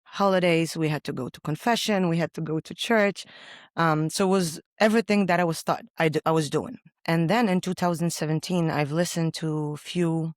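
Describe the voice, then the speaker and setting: A woman with a deep voice, a podcast guest sharing her faith story in an earnest, halting way with many pauses. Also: dry recording, clean studio sound. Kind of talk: personal faith testimony in conversation.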